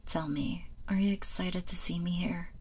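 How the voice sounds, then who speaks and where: A woman playing a proud, sardonic captive royal in a roleplay audio drama, breathy and theatrical, with a taunting manner. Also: lowish voice, fairly even intonation, a little mellow. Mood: mocking. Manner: haughty, taunting, theatrical, deliberate.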